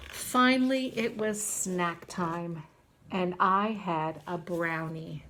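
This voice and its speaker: A woman reading a children's storybook aloud like a teacher, in an expressive, deliberate storytelling manner. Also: fairly low pitch, slight background noise, low-quality recording.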